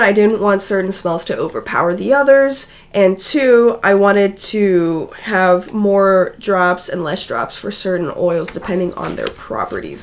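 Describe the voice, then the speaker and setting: Raspy, hoarse woman explaining casually. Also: medium pitch. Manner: chatty, loose, self-correcting. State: hoarse and unwell. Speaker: guest co-presenter.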